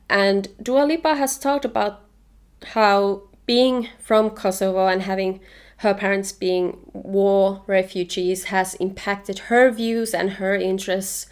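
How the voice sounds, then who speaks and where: A young woman from Finland whose first language is Finnish, chatting casually and eagerly about books on her vlog. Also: medium pitch. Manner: enthusiastic, opinionated, chatty. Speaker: book vlogger. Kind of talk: casual book review chat.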